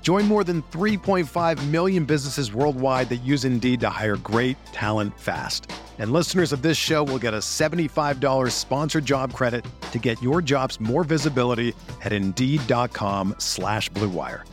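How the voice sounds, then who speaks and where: A male announcer delivers a scripted advertisement. His pitch is average for a man, and soft music runs behind him. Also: normal speed. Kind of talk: scripted ad read.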